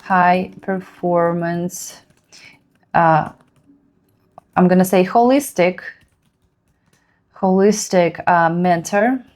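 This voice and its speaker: Woman, second-language English, slightly low voice, halting screencast talk-through. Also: fairly even intonation, darkish tone. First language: Russian. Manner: halting, thinks aloud while typing, many fillers. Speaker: productivity vlogger. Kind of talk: screen-share tutorial.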